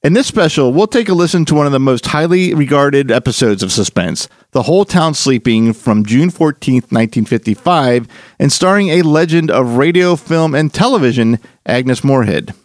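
A man's voice: medium pitch, animated, normal speed, no echo, studio quality. A man hosting a podcast, reading a scripted introduction in a presentational, announcer-like way. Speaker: podcast host. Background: music.

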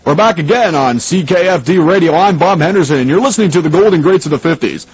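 A man playing a 1980s radio station host, speaking in a measured, formal announcer style. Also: on the high side, lively intonation, husky. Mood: upbeat, announcing. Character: 1980s male radio DJ hosting oldies, turning newsreader. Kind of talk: radio DJ and news bulletin announcing. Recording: noisy radio broadcast recording.

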